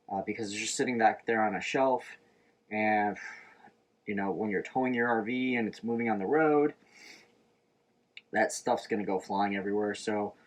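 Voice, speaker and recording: A man vlogging about his shaving routine in a relaxed, rambling way with lots of fillers, a little stuffed up and nasal. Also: medium pitch. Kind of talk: casual chat to camera. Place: inside an RV.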